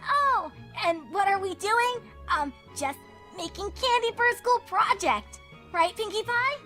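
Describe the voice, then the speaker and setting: A woman's voice: very high-pitched, expressive, unhurried, pushed and bright, soft background music, heavily acted. A woman playing a young, sheepish, pleading cartoon pony filly in a fan fiction audio drama, speaking earnestly and expressively. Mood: falsely innocent.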